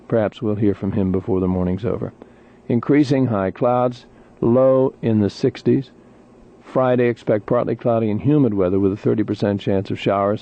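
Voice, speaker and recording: A man's voice: on the low side, warm tone. A man with an American accent hosting an overnight radio show, chatting in a conversational way and reading news and weather. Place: radio studio. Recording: tinny 1980s radio aircheck tape.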